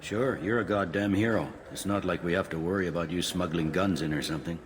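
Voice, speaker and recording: A man acts out a gruff space-station police captain with a low voice. He is blunt, no-nonsense and matter-of-fact, in video game style, over clearly noisy ambience. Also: fast talker, close to an everyday voice. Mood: dry, blunt. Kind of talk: video game dialogue acting.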